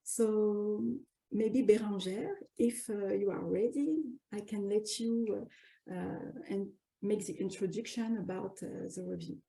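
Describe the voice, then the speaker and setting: A woman with a French accent hosting a webinar on a video call, halting often as she introduces the program. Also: mid-range voice, creaky, warm tone, rough recording. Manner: many fillers and restarts, halting English. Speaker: webinar host and co-editor. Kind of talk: hesitant welcoming introduction.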